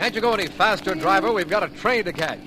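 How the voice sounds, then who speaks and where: An actor playing a brisk, fretful, skeptical district attorney in a 1940s radio drama. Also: male voice, high-pitched, animated. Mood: impatient. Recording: noisy old 1940s radio recording.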